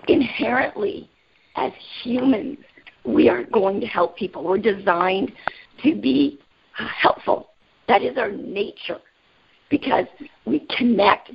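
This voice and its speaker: A woman with a raspy voice today, leading a motivational group call in an upbeat, energetic way and asking the group questions. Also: a little high, lo-fi sound. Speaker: team call leader.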